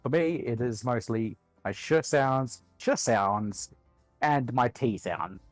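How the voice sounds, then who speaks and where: A man's voice: mid-range voice, slow, dry recording, faint music behind. A man with an Australian accent, chatting casually as a guest on a group livestream.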